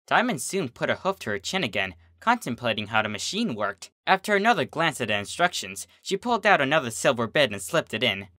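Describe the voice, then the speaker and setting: Bright, expressive story reader with lively pitch. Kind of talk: scripted story narration of a comedy fan fiction.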